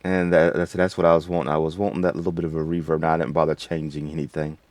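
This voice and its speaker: Man, tutorial host, deep voice, casual, unscripted, rambling, folksy, dry close recording. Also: flat delivery, fast talker, a little mellow, dry recording.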